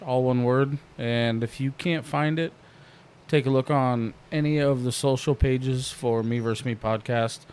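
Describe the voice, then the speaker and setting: Male podcast host, mid-pitched, relaxed and jokey, salty language, faint hiss behind. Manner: laid-back, swears freely, jokey. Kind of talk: casual podcast chat.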